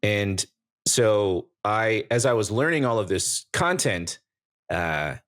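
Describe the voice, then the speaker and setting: Relaxed, low-voiced man in his forties. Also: slow. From American Samoa. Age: 40s. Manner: relaxed storytelling, joking asides. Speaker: guest, YouTuber. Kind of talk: casual interview chat.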